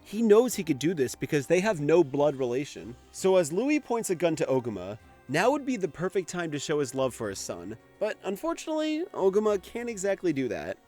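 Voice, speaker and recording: A man's voice: a little high, huge pitch swings, a little fast, few pauses, darkish tone, soft background music. A man giving casual scripted commentary for a video essay, in an informal, conversational way with the odd swear word.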